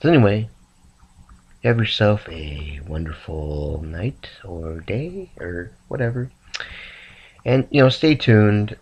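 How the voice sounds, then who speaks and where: A man sick and rambling softly to his camera late at night in a raspy, half-whispered voice. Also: fairly low pitch, lively intonation, lo-fi sound. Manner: slow, meandering, soft-spoken. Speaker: vlogger.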